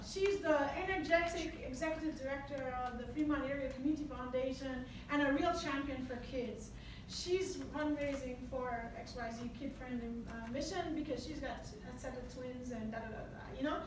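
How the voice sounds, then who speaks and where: A high-voiced woman from Jamaica leads a lively workshop, chatty and quick to laugh as she tells stories. She is recorded from a distance in a large, echoing meeting room with a noisy background. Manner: chatty, laughs often, animated storytelling. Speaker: workshop presenter. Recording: echoey room from far off, noisy.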